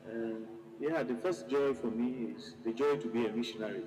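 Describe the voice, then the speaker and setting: A man from Cameroon, a missionary priest, answering interview questions in a measured, thoughtful way with pauses. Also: medium pitch, darkish tone, echoey room, a little hiss or hum, low-quality recording.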